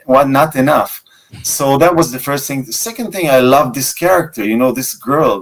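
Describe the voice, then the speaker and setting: Animated man with an Israeli accent. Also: mid-range voice, low-quality recording. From born in Russia, grew up in Israel. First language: Russian. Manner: animated, rambling, many restarts and repeats. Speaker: TV director and co-creator. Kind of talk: remote video interview.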